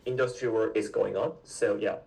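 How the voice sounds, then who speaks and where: A man in his twenties from South Korea, a guest answering interview questions in a halting way, with many fillers and restarts. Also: on the low side, steady tone, gravelly, darkish tone, recorded from a distance. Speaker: guest, PhD student.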